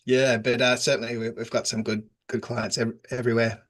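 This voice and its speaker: A man with an Australian accent, a guest on a podcast, speaking in a relaxed, chatty way with frequent laughs over a remote call. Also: medium pitch, a little fast. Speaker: guest, digital agency founder. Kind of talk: casual remote interview.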